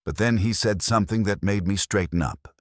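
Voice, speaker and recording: A man's voice: on the low side, fairly quick, dry recording, studio quality. A man narrating a scripted first-person detective story in a wry, hardboiled way. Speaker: audiobook narrator.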